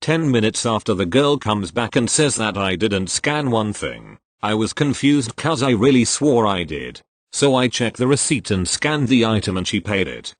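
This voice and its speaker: A man with a fairly low voice narrates retail stories, reading steadily and even speaking punctuation marks aloud. His pitch still moves a lot from line to line, and the sound is close and dry with no room echo. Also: moderate pace. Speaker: narrator reading online posts. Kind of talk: scripted narration.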